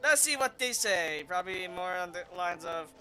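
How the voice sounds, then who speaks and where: A woman's voice: on the low side, animated, moderate pace, bright, forceful tone. A woman giving casual, chatty commentary on a video game playthrough and offhandedly reading dialogue aloud. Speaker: gameplay commentator. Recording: faint game music behind the voice.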